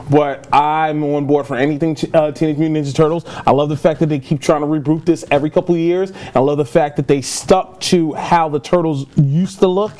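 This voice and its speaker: A man with a fairly high voice co-hosts a casual pop-culture review show. He talks like a keen fan with firm opinions, in an informal back-and-forth style. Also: a little mellow. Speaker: podcast co-host.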